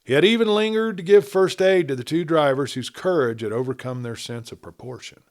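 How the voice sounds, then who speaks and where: A man's voice: on the high side, a little fast, a little mellow. A man reading a western story aloud as a scripted narrator. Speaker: audiobook narrator.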